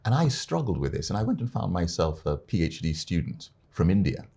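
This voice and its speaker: An older man from Uganda, an experienced arbitrator, telling his life story as an interview guest in a measured, unhurried way. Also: low-pitched, very animated, vocal fry, warm tone, breathy edge.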